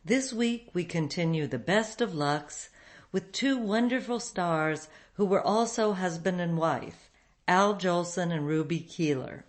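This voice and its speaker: Low-voiced woman hosting a radio program. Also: a little slow. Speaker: host of an old-time radio show. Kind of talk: scripted narration, show introduction.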